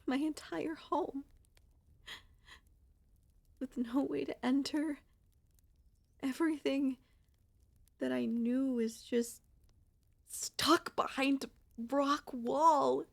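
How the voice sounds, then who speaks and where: Woman voicing a soft, hesitant young monster girl, close and dry. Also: high-pitched, steady tone, very slow, vocal fry, pauses often, no room sound, exaggerated voice. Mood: sad, wistful. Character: young female centipede monster girl, gentle, wistful, anxious. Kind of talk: ASMR audio roleplay.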